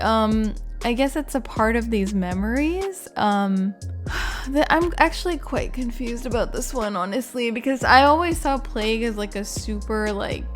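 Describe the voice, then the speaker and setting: A woman giving casual, informal reaction commentary as a gaming creator, thinking aloud in a hesitant way. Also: on the high side. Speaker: video game streamer and reviewer.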